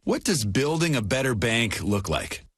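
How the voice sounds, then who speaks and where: A man's voice: mid-range voice, sing-song, measured pace, a bit bright, husky, dry recording. A man reading a scripted radio commercial as an announcer in a studio. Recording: dry studio ad over music.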